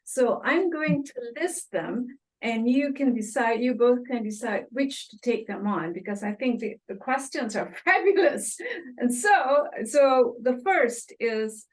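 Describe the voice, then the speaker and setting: A woman with a fairly high voice moderates a webinar. She talks in an organized but conversational way as she lays out audience questions one by one. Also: expressive, unhurried, rough recording.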